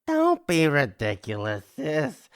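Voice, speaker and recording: An actor playing a flippant, self-pitying, hard-drinking brother in an audio drama, drawling and jokey. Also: male voice, on the high side, huge pitch swings, slow pace, full character voice. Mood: tipsy, flippant.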